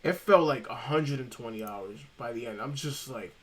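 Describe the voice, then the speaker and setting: A man's voice: medium pitch, moderate pace. A man chatting casually on a live stream about game trophy lists, rambling and saying "freaking" often.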